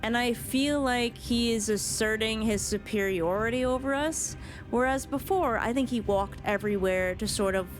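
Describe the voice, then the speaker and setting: Female game streamer, mid-pitched. Casual, musing, informal reactions with swearing, soft music underneath. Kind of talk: casual live-stream commentary. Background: faint game music.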